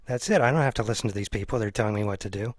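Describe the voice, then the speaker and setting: A man's voice: fairly low pitch, racing, no echo, studio quality. A man hosting an interview show, chatting casually and earnestly. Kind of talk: casual conversation.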